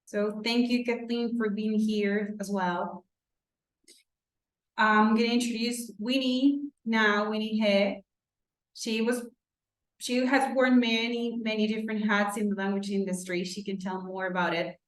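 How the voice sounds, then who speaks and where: A woman hosting a virtual event, reading out introductions in a slightly halting way, correcting herself as she goes. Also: medium pitch, little pitch movement. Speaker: event host introducing panelists. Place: indoor room on a virtual event call. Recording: roomy, echoey video call audio.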